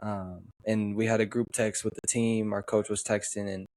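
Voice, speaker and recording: A young man from the western United States co-hosting a podcast, talking in a relaxed, easygoing way with frequent pauses. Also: lowish voice. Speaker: podcast co-host. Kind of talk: casual chat.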